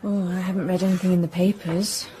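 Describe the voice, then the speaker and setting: A woman's voice: on the low side, flat delivery, quick pace, warm tone, natural voice. A woman playing a polite, friendly young Englishwoman in a radio drama. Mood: friendly, surprised.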